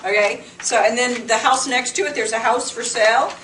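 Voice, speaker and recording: A woman's voice: on the high side, fairly even intonation, normal speed, a bit bright. Roomy, distant woman presenting informally. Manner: conversational, explanatory. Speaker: presenter at a business meetup. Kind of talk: informal workshop presentation to a small group. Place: meeting room with a small group. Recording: roomy, distant mic with clear noise.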